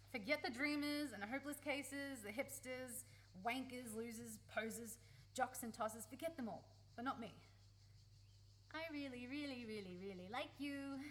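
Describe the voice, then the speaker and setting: An actress plays a young woman with a fairly high voice, lovesick and blunt. She pleads with raw emotion in a radio play, over faint background noise. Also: expressive, normal speed, close to an everyday voice. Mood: tender, pleading. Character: young woman, lovesick, blunt, hurt best friend confessing love.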